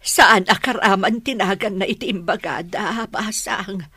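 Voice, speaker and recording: Worried mother, raspy-edged voice with no room sound, radio drama. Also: medium pitch, measured pace, pushed and bright, no room sound, studio quality. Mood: anxious. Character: worried mother sharing news with her daughter.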